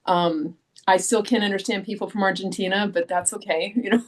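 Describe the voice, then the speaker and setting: A woman's voice: mid-range voice, steady tone, brisk, poor audio. A middle-aged woman chats casually as a podcast guest. She talks in loose, rambling runs, full of ums and self-corrections, and laughs easily. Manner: chatty, frequent ums and restarts, laughs easily. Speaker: author guest. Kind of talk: casual interview chat.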